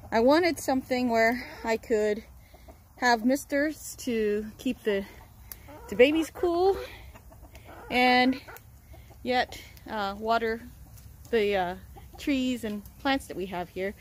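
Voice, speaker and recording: A woman hosting a casual how-to walkthrough outdoors in her backyard, filming on a handheld phone, upbeat and chatty, with fillers and pauses. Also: fairly high pitch, expressive, slight background noise. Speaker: channel host. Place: outdoors in a backyard chicken run.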